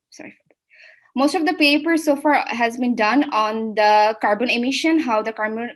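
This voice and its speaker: A young woman with a Bangladeshi accent presents academic research as a PhD student, speaking in a halting way with repeated and rephrased words. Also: mid-range voice, a bit bright. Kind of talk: academic seminar presentation, semi-spontaneous.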